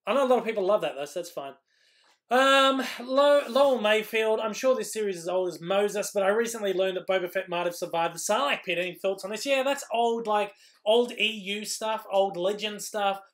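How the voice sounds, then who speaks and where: High-voiced Australian man, going hoarse. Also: moderate pace, fairly bright tone. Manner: rambling, lots of ums and restarts. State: losing his voice. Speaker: YouTube host answering live chat. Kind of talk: casual live-stream Q&A.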